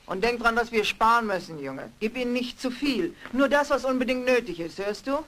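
A woman's voice: fairly high pitch, lively intonation, a little fast, a bit bright, a little hiss or hum. An actress playing a menacing, bossy woman in a German horror audio drama, prodding her underling with trailing questions. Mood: stern, bossy. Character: menacing, bossy woman ordering her dim accomplice Olaf. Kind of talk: German horror radio play acting.

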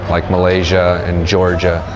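A man in his thirties being interviewed at an open-air training gym, talking in a halting way with many restarts. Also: low voice, monotone, a crowd in the background. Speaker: gym staff member. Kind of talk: on-camera interview. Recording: outdoor noise behind the voice.

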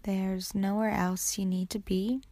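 A woman guiding a meditation in a soothing, unhurried way, with breathy ends to her phrases. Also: mid-range voice, level tone, dark tone, no echo. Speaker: yoga nidra meditation guide. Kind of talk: guided meditation narration.

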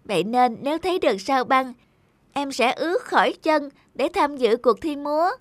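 A woman's voice: high voice, lively intonation, normal speed. A woman voicing a small, affectionate little sister in a children's cartoon, with a childlike, pleading manner. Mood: eager, chatty. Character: small injured little girl, sweet and affectionate younger sister.

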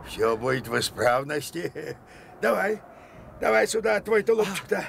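High-voiced, animated rustic Cossack man. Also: a little slow, creaky, full character voice. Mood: jovial, wheedling. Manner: colloquial, folksy, chuckling speech. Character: chuckling, folksy rustic Cossack man, sly and familiar. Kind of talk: Soviet radio drama acting. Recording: slightly noisy vintage radio recording.

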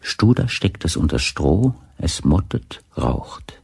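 A man narrating a crime radio play in a deliberate, literary storytelling manner. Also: low-pitched, big pitch swings, gravelly, a little mellow, breathy edge, no echo, studio quality, exaggerated voice. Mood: measured, observant. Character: measured, observant male narrator of a crime story.

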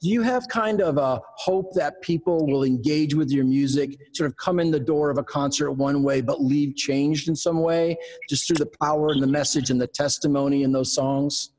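A man hosting an interview over a video call, asking measured, articulate questions. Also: a little high, a little mellow, rough recording. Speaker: interview host.

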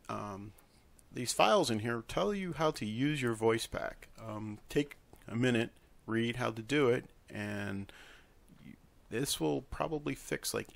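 A man presenting a casual software tutorial, talking informally through each step as he works at a computer. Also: medium pitch, vocal fry. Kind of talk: casual screen-recorded tutorial.

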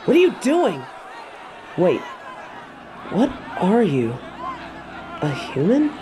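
Woman as confident tiger warrior woman, stern, wry, matter-of-fact, mid-pitched, audio drama, clear ambient noise. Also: huge pitch swings, slow, crowd noise. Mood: brisk, surprised. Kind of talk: scripted audio roleplay. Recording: clear ambient sound effects behind voice.

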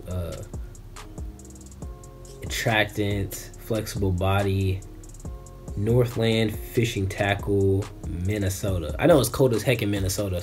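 A young American man chatting casually as an unboxing vlogger, laid-back and slangy, recorded indoors on a camera at arm's length. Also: on the low side. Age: young adult. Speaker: fishing vlogger. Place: small bedroom, indoors.

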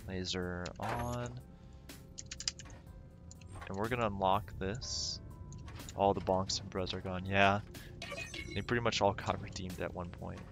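A man streaming a game, rambling casually and thinking aloud with lots of fillers, his voice going raspy. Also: deep voice, steady tone, unhurried, pauses often, music in the background. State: voice getting raspy. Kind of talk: casual gameplay livestream commentary.

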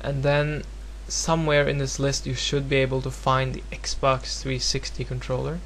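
Male tutorial presenter, Norwegian accent, non-native speaker. Casual, halting, self-deprecating delivery at medium pitch, with humming noise behind. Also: monotone, fairly bright tone. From Norway. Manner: hesitant, rambling, self-deprecating. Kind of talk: casual, unscripted screen-recorded software tutorial. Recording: home recording with clear background noise and hum.

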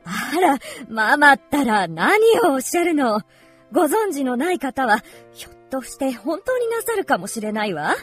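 A woman acting demure unmarried daughter, high voice, very lively intonation, coy, prim, ladylike, radio drama. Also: moderate pace, husky, soft background music, exaggerated voice. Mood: coy, protesting. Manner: prim, ladylike feminine speech.

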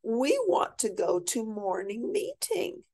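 A woman's voice: on the high side, very animated, takes it slowly, vocal fry, darkish tone, pitched above the performer's own voice, exaggerated voice. A woman voicing a group of grown-ups whining that they want to go to school. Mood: whiny.

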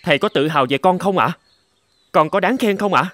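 Man voicing an earnest, high-pitched young sword student. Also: brisk, sharp tone, no echo, clean studio sound. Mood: proud, eager. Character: eager, proud young male sword student. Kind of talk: scripted cartoon dub.

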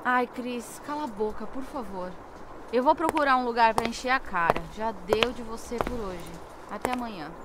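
A woman's voice: fairly high pitch, moderate pace, very noisy, natural voice. A woman playing a foul-mouthed, irritable, hard-drinking journalist in an audio drama, with a brusque manner. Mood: irritated, sarcastic. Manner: brusque, swearing.

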